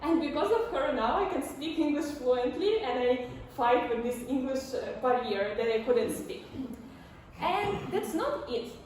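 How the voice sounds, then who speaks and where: A woman in her twenties with a Ukrainian accent, telling a personal story on stage in an animated way, acting out dialogue. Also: high voice, a little slow. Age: 20s. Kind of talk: personal storytelling talk to an audience. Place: indoor stage before a community audience. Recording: echoey, distant, faintly noisy live room.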